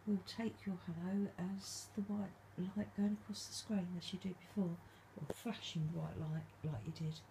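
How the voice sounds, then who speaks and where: A woman vlogging at night from her dark bedroom, speaking softly and calling out to spirits, her voice a little stuffed up from hay fever. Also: on the low side, fairly even intonation, measured pace, hardly any pauses, background noise. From England. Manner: hushed, soft-spoken, calling out to spirits. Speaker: paranormal vlogger. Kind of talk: quiet late-night ghost hunting vlog.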